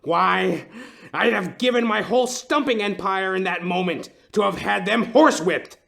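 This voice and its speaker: An actor playing a melodramatic, lovesick older timber tycoon with a pompous, theatrical way of talking in a comedic detective audio play. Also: male voice, high voice, normal speed, creaky, a bit bright. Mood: indignant. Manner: florid, theatrical, pompous storytelling.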